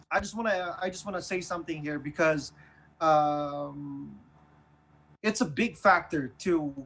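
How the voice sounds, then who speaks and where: A man with a Filipino accent casually discussing the news as a radio host, in a halting, earnest way that often repeats phrases. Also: on the high side, fairly bright tone, low-quality recording. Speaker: online radio show host.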